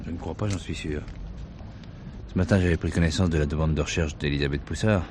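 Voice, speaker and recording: An actor playing a calm, shrewd police commissioner in a French stage thriller, questioning with deliberate politeness. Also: male voice, rumbling low. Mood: calm, serious. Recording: 1970s stage play recording, some hiss.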